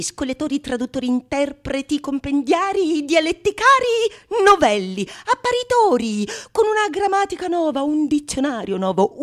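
A woman actor performing a theatrical monologue in a declamatory manner, with dramatic pauses and relish for long lists of words. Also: sits high. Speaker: solo stage actress. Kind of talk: theatrical monologue of a Renaissance comedy.

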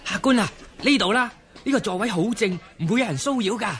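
A man's voice: sits high, expressive, fairly quick, a bit bright. A man acting an obliging, fussy inn waiter in a Cantonese radio drama, with servile welcoming patter. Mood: welcoming, eager.

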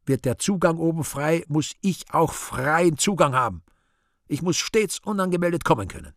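An actor playing a brooding, vain, scheming devil who mutters to himself and breaks into exclamations in a theatrical radio play monologue, with a raspy, gravelly voice. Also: male voice, on the high side, animated, normal speed, fairly bright tone, dry recording, clean studio sound. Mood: grumbling, demanding.

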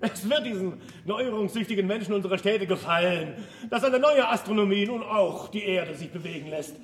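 A man's voice: sits high, normal speed, natural voice. A man playing an exuberant, impatient scholar and teacher, declaiming emphatically in a classic radio play. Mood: enthusiastic, visionary. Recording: roomy sound with faint noise.

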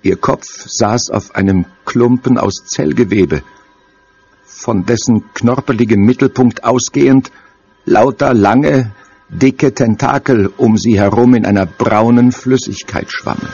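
A man narrating a scripted horror story in a measured, ominous manner. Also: mid-range voice, gravelly, a little mellow, breathy edge. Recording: dull audio play sound over music. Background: music.

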